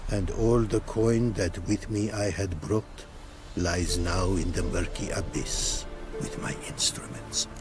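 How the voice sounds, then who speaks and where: An actor playing a courteous, proud scholar-artisan in a video game, speaking in formal, inverted, archaic phrasing. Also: male voice, sits low, fairly even intonation, over music. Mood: mournful. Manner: formal, inverted archaic syntax, deliberate emphasis. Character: courteous, proud foreign male rune craftsman, melancholy scholar. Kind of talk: video game cutscene acting.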